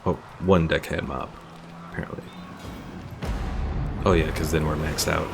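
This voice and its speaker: Low-voiced, chatty male game streamer. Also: fairly even intonation, normal speed, gravelly, heavy background noise. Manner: chatty, thinking aloud, reading out chat. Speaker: gaming streamer. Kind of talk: casual live gameplay commentary. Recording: game music behind the voice. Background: video game music.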